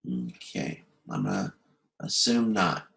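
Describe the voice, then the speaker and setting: A man teaching an informal online class as instructor, explaining step by step in a halting, conversational way and checking that listeners follow. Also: very deep voice, huge pitch swings, gravelly, a bit bright. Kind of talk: informal online lecture to students. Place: video conference.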